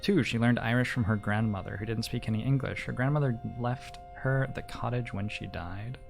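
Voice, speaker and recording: Male game streamer for read-aloud narration of story text: creaky, gravelly edge, measured phrasing, music underneath. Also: medium pitch.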